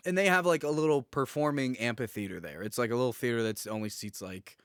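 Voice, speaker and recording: A man's voice: medium pitch, quick pace, a bit bright, smooth, no echo, clean studio sound. A man co-hosting a podcast, chatting casually and telling personal anecdotes while acting out the dialogue he quotes. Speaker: podcast co-host.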